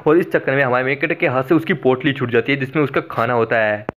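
A young man excitedly narrating a cartoon recap in a chatty, slangy way. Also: medium pitch, quick pace, a little hiss or hum. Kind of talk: casual explainer narration.

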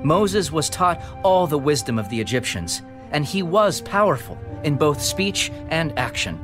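Impassioned, high-pitched preacher over music. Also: male voice, big pitch swings, measured pace. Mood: solemn, earnest. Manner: solemn, declamatory storytelling. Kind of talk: dramatized scripture reading, oratorical. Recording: studio reading over prominent background music.

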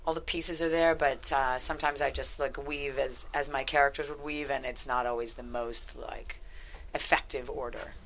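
A middle-aged woman talking casually as an interview guest, halting as she thinks aloud, on a headset mic in a studio. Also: rumbling low, fairly even intonation, a bit bright, a little hiss or hum. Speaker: guest screenwriter. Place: small podcast studio, indoors.

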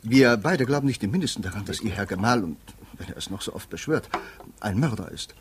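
An actor playing a brisk, formal defence lawyer in a 1950s radio play, officious and deferential. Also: male voice, fairly low pitch, animated, natural voice. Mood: officious, concerned. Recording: noisy 1950s radio recording.

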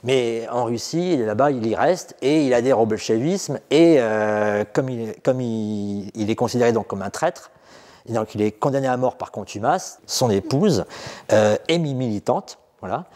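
A middle-aged man speaks unscripted as a guide in an exhibition room, pausing often with fillers and restarting phrases. His voice sits at an ordinary male pitch. A long reverb trails behind him. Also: darkish tone. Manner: hesitant, many fillers and restarts. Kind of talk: unscripted explanatory talk.

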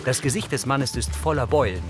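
A man giving measured, neutral scripted narration of a crime series as an audio describer. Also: mid-range voice, animated, over music.

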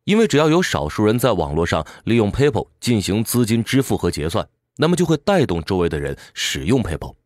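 A man's voice: fairly low pitch, sing-song, fairly quick, hardly any pauses, no room sound, studio quality. A man giving a scripted explanatory narration in a didactic way, speaking directly to the listener. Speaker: audiobook summary narrator.

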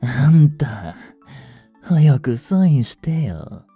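A man playing a cocky, money-hungry young kidnapper in a romance audio drama, with brash, taunting, rough casual speech. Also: mid-range voice, sing-song, extremely slow, dark tone, soft background music, exaggerated voice. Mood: tipsy, bossy.

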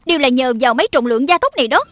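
A woman voicing a lively little male lion-spirit helper who lectures quickly and chirpily in a cartoon dub. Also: sits high. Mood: chirpy.

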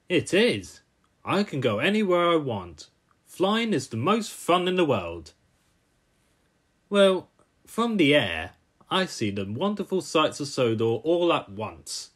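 A man's voice: on the high side, huge pitch swings, slow pace, long pauses, breathy edge, voice pushed up, exaggerated voice. A man voicing a cheerful, helpful, eager male jet plane in a cartoon style. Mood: excited. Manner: bright and eager.

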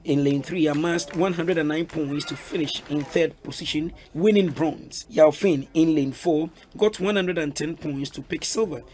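A man's voice: on the high side, moderate pace, hardly any pauses, a little mellow, a little hiss or hum. Man reading news voice-over. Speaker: news reporter. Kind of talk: scripted news narration.

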